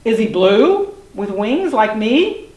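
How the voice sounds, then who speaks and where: A woman voicing a friendly, helpful flying male dinosaur in a storybook tale, loud and casual. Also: fairly high pitch, sing-song, slow pace, reverberant, highs cut off. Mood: helpful, breezy.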